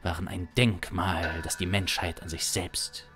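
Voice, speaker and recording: Male narrator, thirties, for suspense audio drama: very low, unhurried, dramatic, light music underneath. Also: level tone, a bit bright. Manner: deliberate, dramatic. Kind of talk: improvised suspense story narration. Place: small indoor streaming studio. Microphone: close studio microphone on boom arm.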